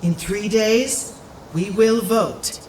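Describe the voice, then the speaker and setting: An actress playing an elderly, composed town speaker in an audio drama, with a measured, formal, dry way of talking. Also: female voice, medium pitch, darkish tone, echoey room, a little hiss or hum. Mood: formal, weary. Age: older.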